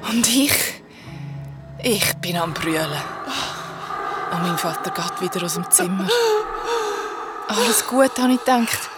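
Eine Schauspielerin mit Schweizer Färbung spielt in einem Hörspiel die verstörte Geistertochter, stockend und hauchig, mit Wortwiederholungen. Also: female voice, fairly low pitch, lively intonation, loud music behind the voice. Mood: anguished. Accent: Swiss. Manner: halting, breathy, repeating words under strain. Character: young woman ghost, haunted, distressed daughter. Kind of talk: radio drama narration and dialogue.

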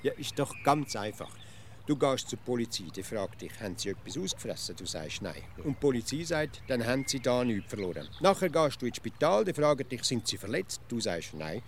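An actor playing an absurdly logical, nagging everyday philosopher in a comic radio play, pedantic and insistent, with a Swiss accent. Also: male voice, medium pitch, big pitch swings, a little fast, slight background noise. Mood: smugly explaining.

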